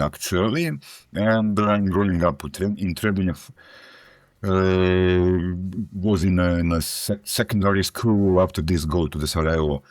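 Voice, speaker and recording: Guy in his 50s, lowish voice, halting english, lots of restarts. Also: no echo, studio quality. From Croatia. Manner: halting, searching for English words with many restarts. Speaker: guest. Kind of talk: interview answers.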